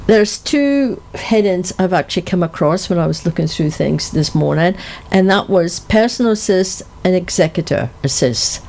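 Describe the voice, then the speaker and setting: An older Scottish woman hosting a community radio interview, chatty and quick to laugh as she asks her guests questions. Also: fairly low pitch, lively intonation, gravelly, darkish tone. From Scotland. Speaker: radio show host.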